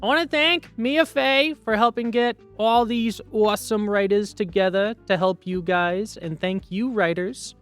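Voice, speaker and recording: A man's voice: sits high, a little slow, few pauses, a bit bright, smooth, soft background music. A man presenting an instructional workshop in an informal, emphatic, conversational way.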